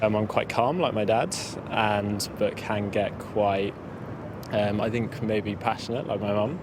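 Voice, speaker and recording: A calm young man from England answering interview questions somewhat hesitantly outdoors in a city. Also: lowish voice, unhurried. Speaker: street interviewee. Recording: clear outdoor urban traffic noise.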